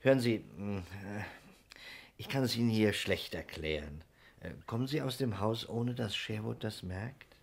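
An actor playing a courteous, shrewd detective who speaks with polite, deliberate probing in a 1960s radio crime drama. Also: male voice, lowish voice, vocal fry, breathy edge. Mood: discreet, purposeful. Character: shrewd, courteous male detective quietly cornering a suspect.

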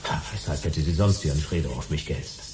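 A man's voice: very deep voice, fast talker, noisy background. A man playing a terse, dry, stubborn private detective in a German sci-fi radio play. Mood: pondering.

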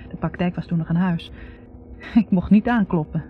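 An actress playing a bitter, reflective young woman academic in a 1960s radio drama, speaking in a halting, confessional way. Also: fairly low pitch, soft background music, natural voice. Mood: wistful recollection. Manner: halting, confessional, repeating words. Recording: muffled 1960s radio recording.

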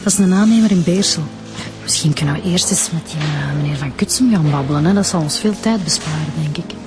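A woman's voice: low-pitched, fast talker, loud music behind the voice, natural voice. A woman playing an eager, inquisitive police detective with a Flemish accent, informal and polite, in a radio drama. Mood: eager, tentative. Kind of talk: naturalistic radio drama acting.

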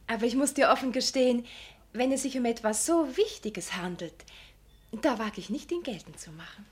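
Husky, high-voiced, sweetly pious meddler. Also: female voice, animated, normal speed. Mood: meekly evasive. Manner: hesitant, over-polite, sweetly apologetic. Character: pious, fawning, slyly meddling married woman. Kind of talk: German radio drama acting.

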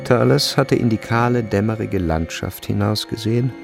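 Deep, gravelly male literary narrator. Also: moderate pace, over music. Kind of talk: literary radio drama narration, scripted.

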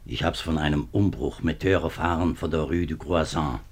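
A man's voice: rumbling low, moderate pace, breathy edge. A man playing a nervous, worn-down middle-aged minister in a 1950s German radio drama, speaking formally but hesitantly. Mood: anxious, weary. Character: nervous, worn-down government minister, husband and father.

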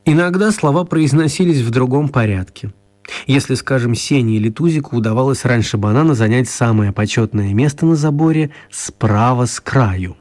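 A man narrating a children's radio play as a scripted storyteller, with measured, wry phrasing. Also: medium pitch, darkish tone.

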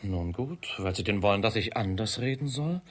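An actor playing an ardent, defiant young gentleman in a classic comedy radio play, courtly and breathy. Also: on the low side, expressive, moderate pace. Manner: courtly, impassioned.

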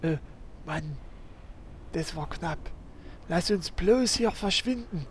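A man's voice: a little high, expressive, takes it slowly, slight background noise. A man playing a nervous, flustered game hero in a parody audio drama. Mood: nervous, relieved.

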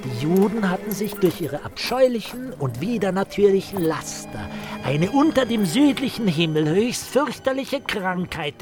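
A hateful dog lectures in a high-pitched voice for a radio drama. The delivery is haughty and declamatory, swinging widely in pitch, with faint music around it. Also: male voice. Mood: lecturing. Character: hateful, sneering male dog reading a pamphlet aloud. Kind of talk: German radio drama acting.

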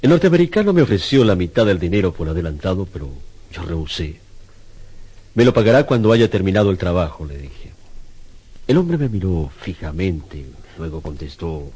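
A tormented, brooding artist and husband in an old-style radio suspense play. His very low voice is husky, and he speaks gravely, with theatrical, hesitant pauses. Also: a little mellow, low-quality recording. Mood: anxious, troubled. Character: troubled Spanish sculptor, brooding husband tormented by a commission.

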